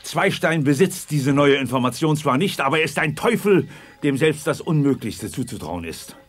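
Husky, resolute general. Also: male voice, a little high, expressive, moderate pace, soft background music. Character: stern, resolute male army general. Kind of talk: 1980s children's sci-fi radio play.

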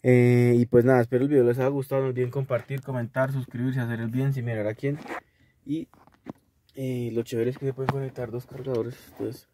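Mellow young Colombian man, casual vlogger. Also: mid-range voice, little pitch movement, brisk, no room sound. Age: young adult. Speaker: video host reviewing a gadget. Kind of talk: casual vlog review. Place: inside a small car. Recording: noisy car-cabin recording with clicks.

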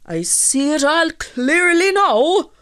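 A woman's voice: a little high, takes it slowly, no room sound, studio quality, voice pushed up. A woman voicing a hot-tempered peasant fisherman in a storybook tale, with folksy, emphatic, exclamatory speech. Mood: angry accusing. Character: hot-tempered Irish peasant fisherman, grown man, blustering and wheedling. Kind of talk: storybook character voice.

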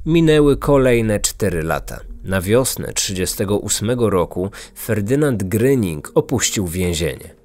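A man's voice: on the low side, lively intonation, a little slow, hardly any pauses, a little mellow. A man narrating a scripted true-crime podcast as its host, in a grave storytelling manner.

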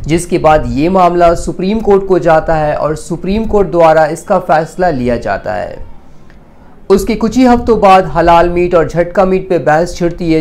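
A man's voice: fairly high pitch, a little mellow. A man presents a scripted news explainer, addressing viewers directly in a formal way. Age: 20s.

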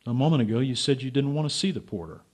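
Man playing an animated, wry amateur sleuth. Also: mid-range voice, racing, husky, dry recording, clean studio sound. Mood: gentle, wry. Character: naive, stubborn, wry male amateur sleuth. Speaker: actor in radio drama. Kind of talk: radio drama acting.

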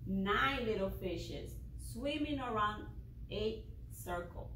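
A woman teaching preschoolers at circle time and reading them a story aloud, drawing each word out slowly and clearly for small children. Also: medium pitch, expressive, fairly bright tone, a little hiss or hum. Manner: slow, deliberate, drawn-out words for small children. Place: preschool classroom, indoors. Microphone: far camera. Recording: echoey classroom from a distant camera.

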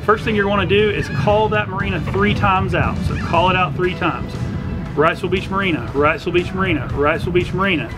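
A man's voice: high voice, brisk, rough recording. A middle-aged man presenting an instructional explainer to camera, talking through things step by step. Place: outdoors on a docked boat at a marina. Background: background music.

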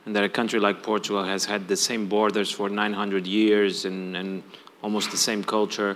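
A man's voice: fairly low pitch, little pitch movement, fast, fairly bright tone. A man in his forties on a conference panel, speaking through a lapel mic in a reflective, conversational tone with some dry humor. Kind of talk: panel discussion. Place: conference stage.

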